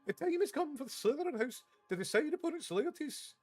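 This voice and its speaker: Male narrator putting on imperious elderly witch, high voice, clipped commands. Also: sing-song, brisk, soft background music. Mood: stern. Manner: clipped, imperious, shouting orders. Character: stern elderly female witch professor, commanding.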